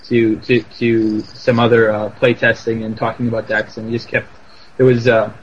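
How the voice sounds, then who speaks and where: Man, podcast guest, halting, filler-heavy, muffled lo-fi audio. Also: medium pitch, little pitch movement, husky. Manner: halting, lots of restarts and "like". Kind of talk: casual podcast chat. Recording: dull lo-fi line with faint noise.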